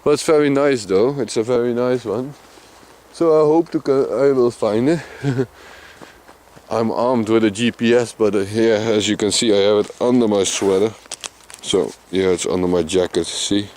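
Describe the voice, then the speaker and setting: A deep, somewhat mumbling man with a Dutch Limburg accent talks casually to his camera out in open countryside. His voice sits low, and a faint outdoor hum lies behind it. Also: moderate pace, a little mellow. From Limburg, Netherlands. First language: Dutch Limburg dialect. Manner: deep voice, mumbling, chatty with small laughs. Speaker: vlogger. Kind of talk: casual outdoor vlog narration.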